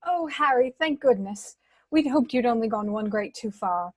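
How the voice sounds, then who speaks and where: Woman playing a high, fussing motherly witch. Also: lively intonation, moderate pace, dry recording, studio quality. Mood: flustered relief. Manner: fussing, effusive.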